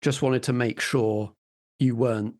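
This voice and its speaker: A man's voice: mid-range voice, fairly even intonation, a little slow, darkish tone. A man narrating scenes and giving rulings as the game master of a tabletop role-playing game.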